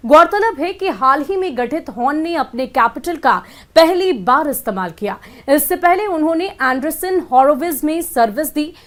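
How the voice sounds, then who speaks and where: A woman with an Indian accent presenting scripted news in a studied bulletin style. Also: sits high.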